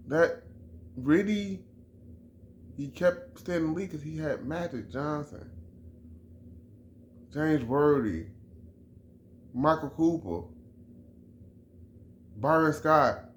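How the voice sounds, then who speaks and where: A man's voice: on the high side, a little hiss or hum. A middle-aged man from Chicago giving an emphatic, repetitive sports opinion in a casual vlog, often restarting his phrases. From Chicago, USA. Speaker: vlogger.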